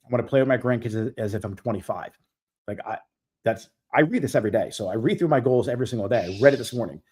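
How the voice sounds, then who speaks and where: A man's voice: medium pitch, quick pace, a little mellow, breathy edge. A man in his forties hosting a live stream Q&A, giving business coaching in a direct, emphatic way that repeats key points. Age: 40s. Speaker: host.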